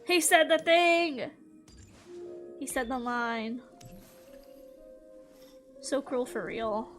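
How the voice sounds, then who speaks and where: A young woman giving casual, expressive commentary as she plays a game, reacting playfully. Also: high-pitched, extremely slow. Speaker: gaming video host. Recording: game music behind the voice. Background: game music.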